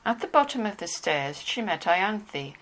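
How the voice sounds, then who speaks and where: A woman's voice: mid-range voice, sing-song, a little slow, fairly bright tone, rough recording. A woman narrator reading scripted narration from a dramatized novel.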